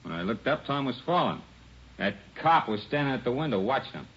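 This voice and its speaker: A man's voice: medium pitch, big pitch swings, fairly quick, fairly bright tone, slightly rough. A man playing a stubborn, resentful union witness in a 1940s radio drama, answering curtly and defiantly. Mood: bitter. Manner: curt, defiant. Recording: muffled, noisy 1940s radio recording.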